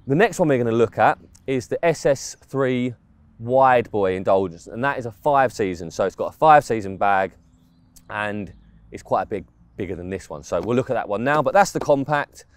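A man's voice: medium pitch, lively intonation, moderate pace, no echo. A man, talking casually through a product review while standing outdoors in woodland. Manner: chatty, informal, explains specs step by step. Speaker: fishing gear reviewer.

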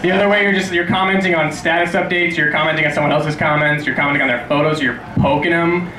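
A man's voice: fairly high pitch, fairly even intonation, quick pace. A man in his twenties doing a sarcastic, profane stand-up routine at an open mic, ranting at his own expense. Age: 20s. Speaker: stand-up comedian. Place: small dim club stage, indoors. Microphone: handheld stage microphone. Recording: echoey room with clear background noise.

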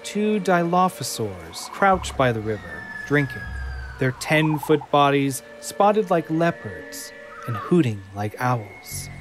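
A man narrating an audio drama from a script, in measured, descriptive storytelling. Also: medium pitch, big pitch swings. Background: faint music.

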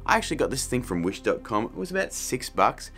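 A man's voice: medium pitch, very animated, quick pace, a bit bright, faint music behind. A middle-aged man presenting a casual scripted tutorial, chatty and jokey. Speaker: video host.

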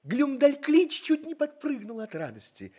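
A man narrating a children's radio play in an expressive storytelling style. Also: very high-pitched, measured pace.

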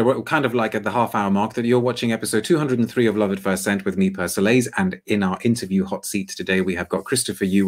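Chatty male livestream host. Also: mid-range voice. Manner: chatty, polite, stammers on restarts. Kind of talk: hosting a live interview stream. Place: home room, indoors. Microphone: video call webcam mic.

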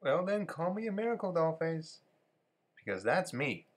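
A man's voice: a little high, big pitch swings, normal speed, very noisy. A man voicing a cocky, breezy American rooster, a wisecracking drifter, in an amateur cartoon fan dub. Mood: cocky, boastful.

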